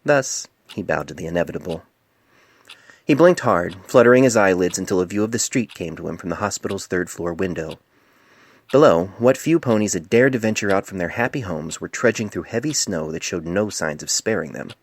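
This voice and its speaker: Male amateur narrator, low-pitched, reading prose fiction into a phone mic with a dry, close sound. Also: lively intonation, brisk, no echo. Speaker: author narrating own story. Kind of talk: scripted fan fiction narration. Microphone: cell phone microphone.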